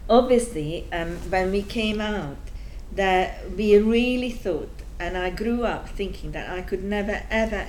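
A woman in her sixties from Hungary, speaking with a strong Hungarian accent, talks reflectively and haltingly with frequent pauses. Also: lowish voice, slight background noise, low-quality recording. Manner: thoughtful, halting, with frequent ums and soft laughs. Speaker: interviewee. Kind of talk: reflective personal testimony.

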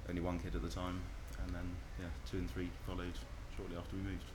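A man in his thirties with a Northern English accent and a very low voice talks through interview answers. He pauses often, filling gaps with ums and starting phrases over. A steady hiss of background noise sits clearly under the recording. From North East England. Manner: hesitant, frequent ums and restarts.

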